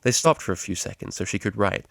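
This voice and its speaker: A man's voice: sits low, fast talker, gravelly, fairly bright tone, no room sound. A man reading a scripted story aloud as an amateur narrator. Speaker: amateur fan-fiction reader.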